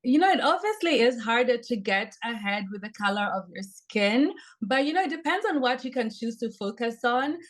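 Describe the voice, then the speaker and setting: A woman's voice: on the high side, animated, normal speed. A woman with a Kenyan accent, a podcast guest giving earnest, upbeat interview answers.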